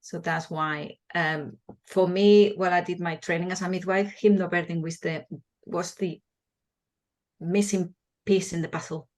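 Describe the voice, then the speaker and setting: A woman's voice: fairly low pitch, fairly even intonation, unhurried, a little mellow. A woman in her forties from Spain with a Spanish accent, a midwife answering interview questions in a passionate, earnest way, often restarting her words.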